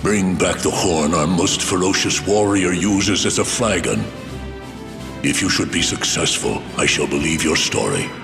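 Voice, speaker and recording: A man's voice: low voice, lively intonation, a little slow, creaky, exaggerated voice. A man playing a grim, commanding lord in a fantasy game, speaking solemnly and formally. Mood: stern, testing. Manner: solemn, formal, archaic speech. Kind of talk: fantasy video game voice acting. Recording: echoey, loud music behind.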